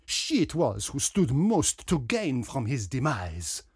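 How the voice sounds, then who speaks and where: A man voicing a pompous, dapper little detective with a French accent, theatrical and deliberate, in a mystery story. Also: medium pitch, wildly swooping pitch, slightly rough, no echo, studio quality, voice pushed up, heavily acted. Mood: accusing, deliberate. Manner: pompous, self-regarding, deliberate.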